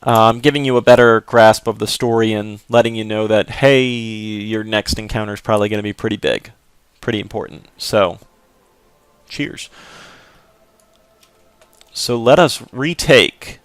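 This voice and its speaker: Guy chatting through his game run, smooth dry voice, lots of ums. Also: on the low side, unhurried, no room sound. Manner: relaxed, rambling, filler words. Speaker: gameplay commentator. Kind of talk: casual let's play commentary.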